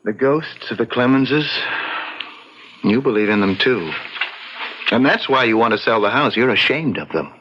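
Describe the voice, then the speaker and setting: An actor playing an earnest, romantic young colonial gentleman in a radio drama, hesitant, formal and melodramatic. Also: mid-range voice, expressive, normal speed, pauses often, slight background noise, natural voice. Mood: accusing. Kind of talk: old-time radio drama acting. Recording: dull, lo-fi radio drama recording.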